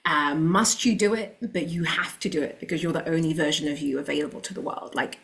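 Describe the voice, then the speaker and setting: Podcast guest: woman, London accent, low-ish voice, earnest and motivational. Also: steady tone, brisk, creaky. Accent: London English. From London, England. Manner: earnest and motivational, with a few laughs. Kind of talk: casual interview chat.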